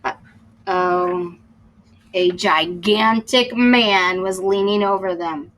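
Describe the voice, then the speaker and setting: Female storyteller for kindergarten read-aloud: expressive, explanatory, slow-paced, bright and slightly high. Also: rough recording. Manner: explains to young listeners as she reads. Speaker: teacher reading a picture book aloud.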